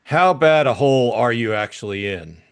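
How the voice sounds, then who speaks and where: A man's voice: medium pitch, lively intonation, normal speed, smooth, lightly acted. A man acting an irritable, blunt hard-boiled private detective in an audiobook drama. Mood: dry, probing. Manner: blunt, impatient.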